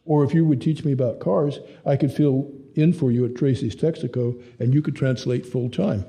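Earnest young German man, read by a male narrator, German accent, impassioned formal English, mellow tone. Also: medium pitch, steady tone, normal speed, natural voice. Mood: pleading.

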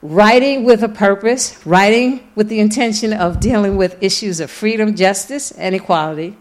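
Woman lecturer, emphatic and deliberate. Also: mid-range voice. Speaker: author giving a public lecture. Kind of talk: lecture with audience questions. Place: public lecture event, live before an audience.

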